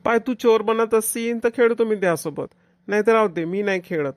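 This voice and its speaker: A woman's voice: medium pitch, brisk, no echo, clean studio sound, lightly acted. A woman voicing a bossy, selfish big brother in a cartoon comedy, domineering, with a Vidarbha Marathi accent. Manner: domineering, scolding. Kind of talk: amateur cartoon comedy dialogue.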